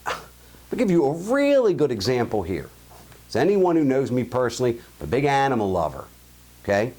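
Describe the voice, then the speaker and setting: A man's voice: mid-range voice, very animated, moderate pace, darkish tone. Blunt Baltimore man ranting. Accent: Baltimore (Highlandtown). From Baltimore, USA. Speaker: show host. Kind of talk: opinion monologue and listener mail.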